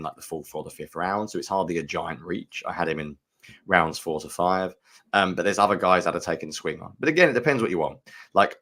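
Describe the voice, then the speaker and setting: A man's voice: low-pitched, fairly bright tone. A man co-hosting a sports podcast, giving frank, opinionated analysis and thinking aloud with fillers. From United Kingdom. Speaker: podcast co-host.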